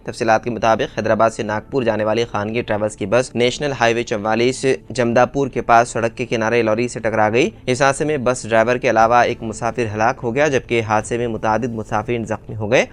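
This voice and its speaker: Male news narrator, mid-range pitch, formal diction, reading scripted bulletin copy. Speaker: news reader.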